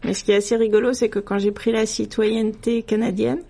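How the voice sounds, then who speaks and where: Gravelly-voiced middle-aged French-born woman. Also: a little high, level tone, fairly quick, dark tone. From France. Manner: thoughtful, frequent hesitations and restarts. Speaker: radio guest. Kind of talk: casual conversational interview.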